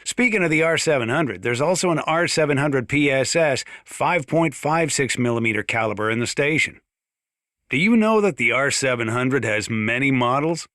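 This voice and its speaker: An actor voicing a folksy, gun-loving sheriff in a video game, chatty and opinionated, as if hosting a radio monologue. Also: male voice, medium pitch, animated, moderate pace, sharp tone, no echo, studio quality. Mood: fond, chatty. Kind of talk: scripted radio monologue, folksy instructional commentary.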